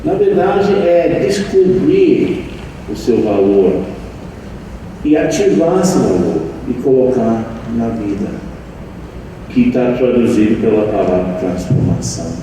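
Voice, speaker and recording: An older man who learned Portuguese as an adult, a meditation teacher giving a slow, reflective anniversary speech to an audience, with frequent pauses and restarts. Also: mid-range voice, big pitch swings, dark tone. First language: not Portuguese. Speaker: meditation teacher and center leader. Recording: echoey room, distant mic, faint noise.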